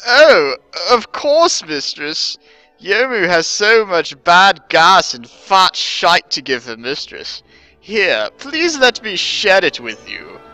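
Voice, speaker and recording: Man putting on an eager, high-pitched servant girl. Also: very animated, sharp tone, dry recording, soft background music, exaggerated voice, rough recording. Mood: eager, pleading. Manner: slow, drawn-out, fawning delivery. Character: devoted, eager young half-ghost servant girl. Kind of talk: dramatic reading of fan fiction.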